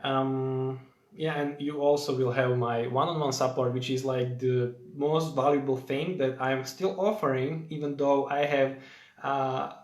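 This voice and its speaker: A young man with a Slovak accent talks loosely through a live stream, using plenty of fillers and breaking off to greet viewers. His voice sits at an ordinary middle pitch. The room around him sounds roomy and echoey. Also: fairly even intonation, unhurried.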